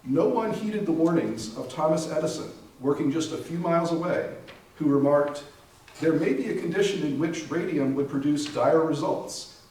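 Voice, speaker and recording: A man's voice: on the high side, normal speed, a little mellow, close to an everyday voice. A man playing a grave, knowing doctor and inventor in his thirties in a readers theater monologue. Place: library readers theater performance, distant microphone. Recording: very echoey room, distant mic.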